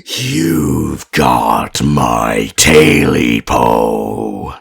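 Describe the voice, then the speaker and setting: A man voicing a short stubby clawed creature, chanting slowly in a drawn-out wail that swings up into shouts. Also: rumbling low, big pitch swings, gravelly, husky, pitched below the performer's own voice, exaggerated voice. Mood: eerie wailing. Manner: slow drawn-out wailing chant, swooping to shouts.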